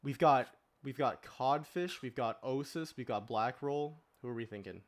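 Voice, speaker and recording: A man's voice: medium pitch, moderate pace. A man hosting a podcast, steering a casual group discussion. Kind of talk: casual group chat with beatboxing predictions.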